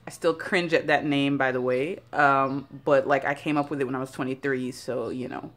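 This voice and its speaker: A woman's voice: very deep voice, steady tone, moderate pace. A woman in her thirties from Jamaica, chatting casually and rambling a little as she films herself on a phone held at arm's length. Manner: chatty and rambling, with many fillers. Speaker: founder of a beauty brand. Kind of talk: casual vlog talk. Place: bathroom by a bright window.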